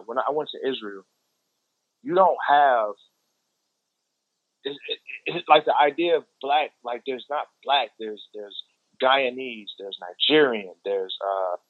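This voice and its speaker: A man's voice: fairly low pitch, no room sound, narrow, tinny audio. A man with an American accent explaining his views in casual conversation, emphatic and repeating phrases for stress.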